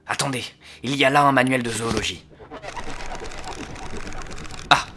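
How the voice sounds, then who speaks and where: An actor playing an earnest, studious young assistant in an audio drama, with a deliberate delivery. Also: male voice, mid-range voice, crowd noise, close to an everyday voice. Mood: absorbed, searching. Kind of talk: French audio drama acting. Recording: clear music behind the voice.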